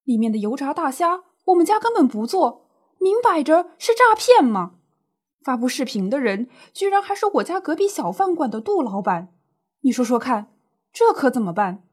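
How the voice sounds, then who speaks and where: A woman's voice: sits high, sing-song, moderate pace. A woman playing a distressed, indignant older snack shop owner in a radio drama, plaintive and full of colloquial exclamations.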